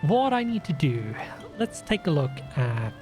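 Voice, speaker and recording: Man, Scandinavian accent, sniffly nose, unhurried let's-play talk, slightly raised pitch. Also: very animated, a little mellow, soft background music. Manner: slow, deliberate, with restarts and fillers. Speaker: gaming channel host. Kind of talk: casual let's play commentary.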